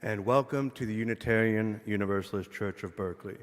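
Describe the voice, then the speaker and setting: A man serving as worship associate, welcoming a church congregation in an earnest, measured way. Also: lowish voice. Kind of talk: church welcome and opening reading. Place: church sanctuary. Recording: long church reverb.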